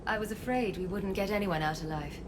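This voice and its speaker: A woman's voice: medium pitch, fast, background noise, natural voice. A woman playing an earnest, grateful scientist in a video game cutscene. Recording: music behind the dialogue.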